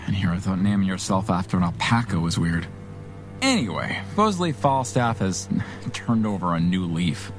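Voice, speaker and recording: A man's voice: low voice, expressive, normal speed, soft background music. An actor playing a wary, wisecracking reformed henchman in a pulp radio drama, sardonic and colloquial.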